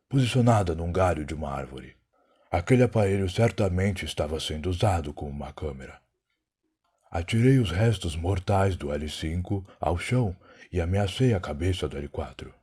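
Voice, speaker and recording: Proud, weary time traveler played by a man, Brazilian accent, low husky voice, solemn dramatic narration for an audio drama. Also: mellow. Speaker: narrator and lead character. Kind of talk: dramatic first-person scripted narration.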